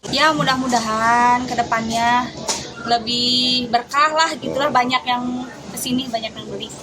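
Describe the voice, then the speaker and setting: Middle-aged woman, high voice, West Java accent, casual vox-pop, noisy location sound. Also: steady tone, unhurried. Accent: Sundanese-region West Java. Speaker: market trader interviewee. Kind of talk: casual interview answers. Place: busy market.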